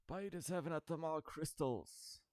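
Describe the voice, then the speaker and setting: A man's voice: on the high side, normal speed, a little mellow, no room sound. A man giving casual, rambling commentary over a game he is playing, with dry, sarcastic asides. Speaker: gaming video creator.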